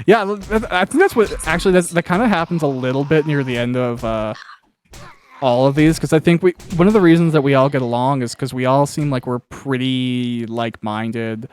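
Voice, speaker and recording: A man's voice: mid-range voice, brisk, a little mellow, slight background noise. A man hosting a casual chat while playing a video game, rambling along, swearing casually and acting out imagined quotes. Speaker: podcast host interviewing a cast member.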